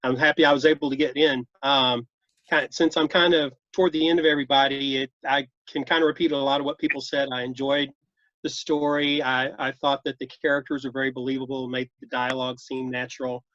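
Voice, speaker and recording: A man's voice: mid-range voice, flat delivery, a little fast, a bit bright, no echo. A man giving casual, meandering feedback in a group video call. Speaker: table read participant giving feedback. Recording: dull, lo-fi video call audio.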